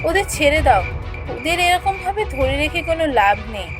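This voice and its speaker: A woman's voice: sits high, big pitch swings, brisk, music in the background. A woman voicing a long-suffering village mother in a fairy-tale cartoon, plaintive and reproachful. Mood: hurt reproach.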